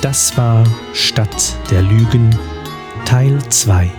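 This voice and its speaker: Slow male announcer over guitar music. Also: fairly low pitch. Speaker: announcer reading end credits. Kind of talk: scripted narration, radio play credits. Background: plucked guitar music.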